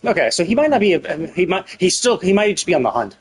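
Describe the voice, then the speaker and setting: A man streams casual game commentary in a fairly high, husky voice. He thinks aloud, speculating and questioning as he rambles along. Soft music plays faintly behind him. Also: very animated, fast. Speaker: live streamer.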